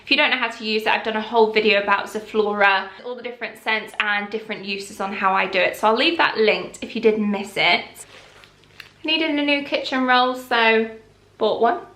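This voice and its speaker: A young woman chatting casually through a shopping haul, calm and rambling. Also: on the high side, fairly quick, echoey room. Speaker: vlogger.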